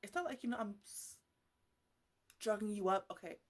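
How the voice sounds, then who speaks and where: A woman's voice: mid-range voice, close to an everyday voice. Woman acting as a rambling, eccentric botanist in ASMR roleplay. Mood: defensive, coaxing. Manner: rambling, halting, repeats herself. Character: eccentric defensive woman botanist fussing over her experimental plants. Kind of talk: ASMR roleplay, casual improvised chatter to plants.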